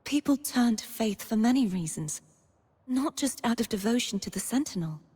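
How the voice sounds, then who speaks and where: A gentle young maiden-knight from a fantasy game, speaks in a soft, breathy voice that sits a little high. She is earnest and solemn, choosing her words like a quiet vow. A long reverb trails after her. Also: animated, normal speed. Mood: warm, reflective. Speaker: game character voice actor. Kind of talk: scripted character monologue.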